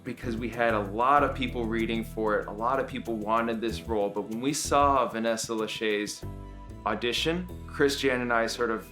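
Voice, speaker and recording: A man, a producer, recounting casting stories in an animated way. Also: mid-range voice, normal speed, faint music behind. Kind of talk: featurette interview.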